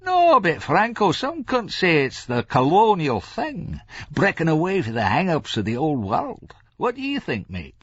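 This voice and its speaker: A man's voice: on the high side, huge pitch swings, measured pace, husky, no room sound, highs cut off, voice pushed up, full character voice. A man voicing a mischievous, leering Scottish drinker in an audiobook, conspiratorial and wheedling. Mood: teasing, mischievous.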